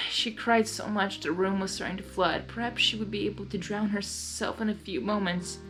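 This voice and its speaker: A woman reading fan fiction aloud with expressive narration and enthusiastic, chatty asides. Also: medium pitch, normal speed, faint music behind, rough recording. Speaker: fanfiction reader.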